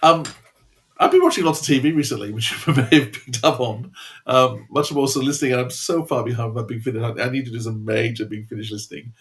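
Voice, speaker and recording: A man's voice: mid-range voice, fairly even intonation, fast talker, a bit bright. A man co-hosting a podcast, chatting casually and enthusiastically. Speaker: podcast co-host. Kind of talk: casual chat.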